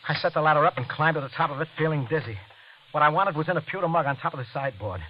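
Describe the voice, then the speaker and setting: A man's voice: a little high, animated, a little hiss or hum, natural voice. An actor playing a guilty, panicky man on the run after a killing, narrating in the first person in old radio drama style, tense and prone to stammering. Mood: haunted, brooding. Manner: tense, hurried, stammering when questioned. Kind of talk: 1940s-50s radio acting, first-person thriller narration. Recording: dull old radio broadcast with music.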